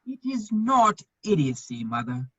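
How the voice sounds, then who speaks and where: A man playing a witty, loyal gentleman bachelor with a husky voice, declaiming earnestly in a theatrical reading of a period comedy. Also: sits high, sing-song, measured pace, no room sound, lo-fi sound, exaggerated voice. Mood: dry, wry.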